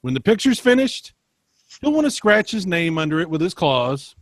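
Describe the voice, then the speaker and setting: A man's voice: high-pitched, sing-song, moderate pace, no room sound. Exasperated, swooping storybook narrator played by a man. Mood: mock exasperation. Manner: exasperated, sing-song, drawn out. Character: slightly exasperated storybook narrator warning of a demanding monster. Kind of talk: expressive children's picture book read-aloud.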